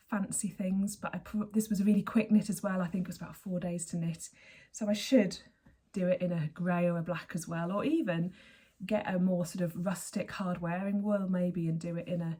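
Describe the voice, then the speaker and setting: A hoarse, husky woman in her forties talks to camera with a mellow, low voice. She rambles cheerfully and restarts her sentences as she goes, like a host catching up with regular viewers. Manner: chatty, rambling, with frequent self-corrections. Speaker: knitting podcast host. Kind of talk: casual chat to camera.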